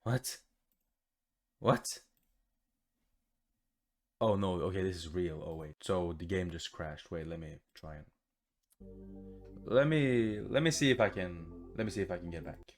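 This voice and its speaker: A man's voice: sits low, lively intonation, unhurried, long pauses, faint music behind. A man giving casual, rambling let's play commentary, blunt and given to swearing. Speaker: gaming video host. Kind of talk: casual let's play commentary, reading game text aloud.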